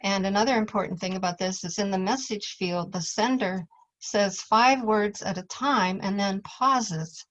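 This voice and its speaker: A woman's voice: mid-range voice, moderate pace, a bit bright. A woman presenting class material as an instructor, explaining it step by step in an informal way. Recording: dull, lo-fi audio.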